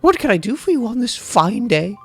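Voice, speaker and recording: Man acting out a flashy female teacher, high sing-song falsetto, theatrical, sweetly pleading, lively pitch. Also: a little fast, husky, soft background music, heavily acted. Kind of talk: reading game dialogue aloud in character.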